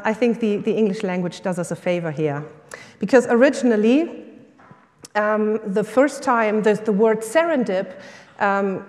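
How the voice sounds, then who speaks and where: Woman, German accent, middle-aged, conference talk, enthusiastic, many fillers, hall reverb. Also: mid-range voice. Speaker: summit speaker, lecturer and coach.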